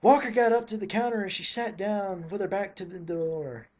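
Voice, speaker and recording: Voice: mid-range voice, normal speed, darkish tone. A reader giving a mock dramatic reading in a theatrical, exasperated way, breaking off for sarcastic asides. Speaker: reader of fan fiction.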